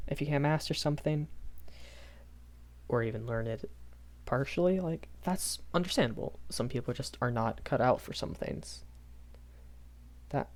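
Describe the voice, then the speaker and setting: A man's voice: mid-range voice, lightly acted. A young man playing a shy, kindly magic academy professor in a rambling audio roleplay, stammering and hesitant. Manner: hesitant, stammering, nervous chuckles, apologetic.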